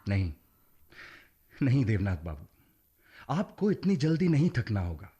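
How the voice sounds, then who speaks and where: An actor in a radio play playing an earnest idealist with a persuasive, declamatory delivery. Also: male voice, medium pitch, sing-song, a little slow, dark tone, muffled recording. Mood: urging. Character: earnest idealist urging a friend to resist injustice. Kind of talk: Hindi radio play acting.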